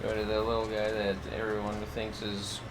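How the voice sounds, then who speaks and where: A man chatting casually on a gaming livestream, sounding drowsy and muttering his low-key commentary. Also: monotone, moderate pace, noisy background. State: tired, drowsy. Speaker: game livestreamer.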